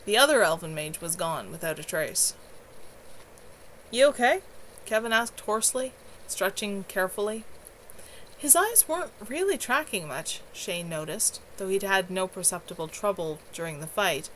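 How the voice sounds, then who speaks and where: A woman's voice: medium pitch, animated, moderate pace, a bit bright, rough recording. A woman narrating fantasy fiction as an amateur author reading her own story aloud. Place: at home. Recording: clear background noise, home livestream.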